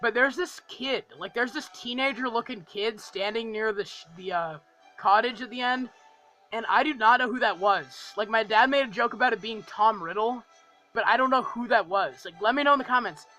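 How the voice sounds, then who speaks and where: A man's voice: extremely high pitch, moderate pace, bright, forceful tone, soft background music, rough recording. A young man giving a casual, enthusiastic video review, gushing and rambling and repeating phrases for emphasis. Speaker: film review vlogger.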